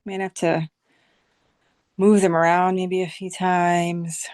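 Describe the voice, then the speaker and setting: A woman leading a guided visualization meditation as a teacher, speaking soothingly in short phrases with long, unhurried pauses. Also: lowish voice, level tone, a little mellow, no echo, clean studio sound.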